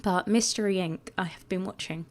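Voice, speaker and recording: A woman with a croaky voice, chatting casually and rambling a bit as she talks over her video. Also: mid-range voice, moderate pace. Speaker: gaming video creator.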